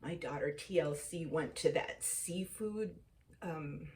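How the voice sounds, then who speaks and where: Unhurried, mellow-voiced woman in her fifties. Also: deep voice, far from the mic. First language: Tagalog. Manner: chatty, drops into whispered asides. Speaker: vlog host. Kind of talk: casual chat.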